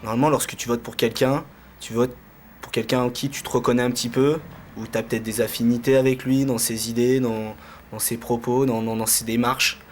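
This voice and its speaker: A man's voice: medium pitch, level tone, fairly quick, soft background music. A man giving casual, slangy answers in an interview, hesitating and repeating his phrases. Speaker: street interviewee. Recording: faint background noise.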